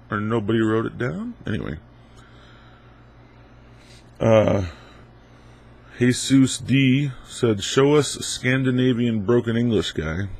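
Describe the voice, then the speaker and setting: Gravelly young man with a cold. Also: fairly low pitch, a little mellow. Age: 20s. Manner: laid-back, rambling, lots of ums and uhs. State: stuffy head cold. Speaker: YouTuber answering viewer questions. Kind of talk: casual Q&A video.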